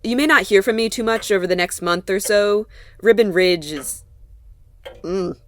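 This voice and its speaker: An actress playing a wry, guarded woman in a naturalistic audio drama, talking haltingly and gently. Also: mid-range voice, lightly acted. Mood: casual, reassuring. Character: Sophie, warm, wry, guarded woman mechanic.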